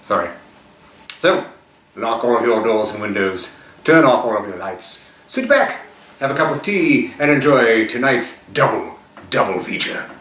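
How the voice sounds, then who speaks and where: A man's voice: medium pitch, animated, measured pace, fairly bright tone. Echoey, theatrical man hosting horror show. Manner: mock-ominous, theatrical. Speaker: show host. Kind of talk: theatrical horror-host scripted introduction. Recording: roomy, echoing, distant from mic.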